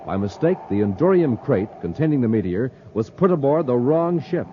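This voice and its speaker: A man's voice: mid-range voice, sing-song, normal speed. A man announcing and narrating in a dramatic 1950s radio style. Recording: noisy, dull old radio recording.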